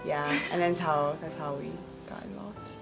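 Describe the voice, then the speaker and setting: Low-voiced young woman, chatty and rambling. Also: normal speed, gravelly, over music. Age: 20s. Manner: rambling, many "like" and "you know" fillers. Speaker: actress. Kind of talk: casual interview answers.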